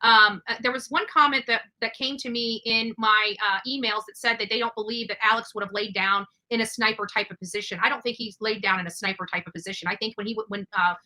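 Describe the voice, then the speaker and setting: A woman hosting a true-crime livestream, commenting over footage in a halting, emphatic way with repeated words. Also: a little high, steady tone, sharp tone, low-quality recording. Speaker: livestream host.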